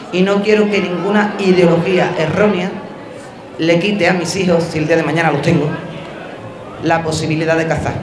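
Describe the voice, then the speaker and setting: Emphatic woman speaking in an echoey fair hall. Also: fairly low pitch. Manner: emphatic, building lists to a climax. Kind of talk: public speech. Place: indoor exhibition stand at a fair. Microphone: handheld mic.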